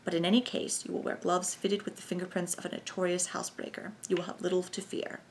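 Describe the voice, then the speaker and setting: A woman voicing a confident German conspirator with a clear voice and a brisk, businesslike manner. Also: sits high, completely flat delivery, slight background noise.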